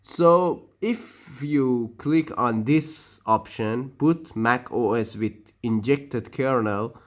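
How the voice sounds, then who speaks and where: A man whose first language is Persian, narrating a computer setup walkthrough with frequent pauses, restarts and loose non-native phrasing. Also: mid-range voice. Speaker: tech tutorial maker. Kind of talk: screen-recorded walkthrough narration.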